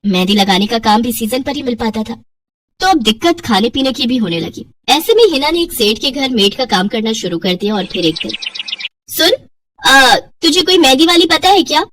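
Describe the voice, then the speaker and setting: A woman's voice: a little high, animated, fairly quick, far from the mic, slight background noise. A woman narrating a scripted moral story as a storyteller.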